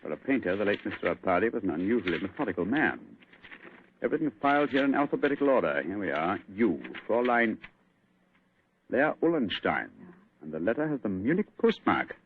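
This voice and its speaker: An actor playing a brisk, commanding male detective who speaks crisply, in an old radio play with a tinny sound. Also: fairly low pitch, very animated, slight background noise. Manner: crisp, authoritative. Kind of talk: 1940s radio acting.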